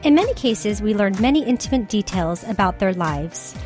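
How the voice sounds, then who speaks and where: A woman co-hosting a radio show, reading scripted promo copy in a bright, sales-like way. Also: medium pitch, lively intonation, normal speed. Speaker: radio show co-host, financial advisor. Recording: music bed underneath.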